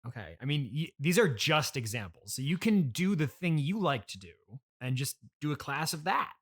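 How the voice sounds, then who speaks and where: A man hosting an improvised comedy interview in casual chat, speaking in a halting, politely reluctant way. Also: a little high, very animated. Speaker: podcast host.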